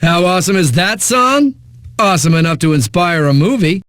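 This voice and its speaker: An actor playing a cocky, flippant eighties-hits radio DJ in a video game, with smug, punchy announcing and dramatic pauses. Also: male voice, high voice, fairly bright tone, no echo. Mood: hyped. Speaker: radio DJ, host. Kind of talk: 1980s music radio DJ patter.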